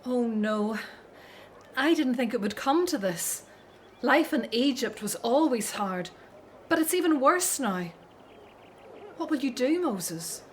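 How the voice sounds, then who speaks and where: An actress playing a caring, concerned Hebrew older sister in a religious radio drama. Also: female voice, on the high side, animated, takes it slowly, long pauses, a little hiss or hum. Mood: grave, concerned.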